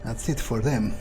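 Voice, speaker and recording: A man speaks very slowly, musing aloud in character as he plays a video game. His manner is cocksure and foul-mouthed, and faint music plays behind him. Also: medium pitch. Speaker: gamer playing in character. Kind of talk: in-character game playthrough commentary, thinking aloud.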